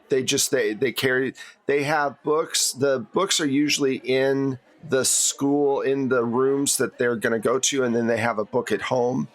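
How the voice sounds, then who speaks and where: A man narrating an improvised tabletop game as game master in a conversational, explanatory way. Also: medium pitch.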